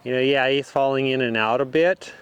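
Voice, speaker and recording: A man giving calm, explanatory riding instruction as he rides in an outdoor arena. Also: medium pitch, brisk, clean tone. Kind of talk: instructional commentary while riding.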